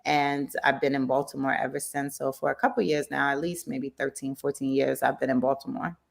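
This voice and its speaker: A woman in her thirties from Jamaica, raised in Brooklyn, talking as a guest entrepreneur in a relaxed, chatty radio interview. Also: a bass voice, a little fast, vocal fry. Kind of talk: casual interview chat. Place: radio studio.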